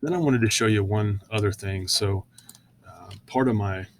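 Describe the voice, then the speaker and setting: A man presenting software in an informal, conversational screen-share walkthrough, often hesitating with fillers as he explains each step. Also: lowish voice, moderate pace, background noise.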